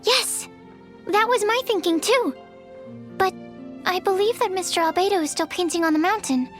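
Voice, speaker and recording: A shy young alchemist speaks in a very high, soft voice. She is earnest and polite, and often hesitates or restarts mid-sentence. Faint game music sits behind her. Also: moderate pace. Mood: timid. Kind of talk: video game dialogue.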